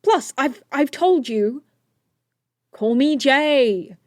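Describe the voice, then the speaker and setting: A woman's voice: high voice, lively intonation, extremely slow, dry recording, clean studio sound, heavily acted. A woman playing a confident, flippant teenage inventor superhero in an audio drama, breezy and wry. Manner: breezy, self-assured, wry.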